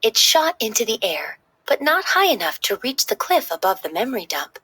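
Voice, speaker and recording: A woman narrating a children's story in an expressive, dramatic read-aloud style. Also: on the high side, moderate pace, husky.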